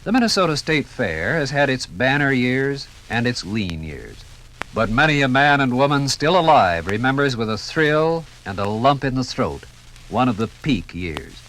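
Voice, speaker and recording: A man's voice: mid-range voice, very animated, normal speed, a bit bright, a little hiss or hum, low-quality recording. A man giving theatrical, rhetorical scripted narration as the narrator of a 1950s radio documentary.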